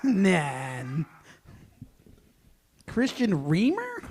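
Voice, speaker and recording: A man hosting a live stage event, announcing informally with halting, self-interrupting delivery. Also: sits high, huge pitch swings, a little mellow, slightly rough. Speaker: host of a live table read.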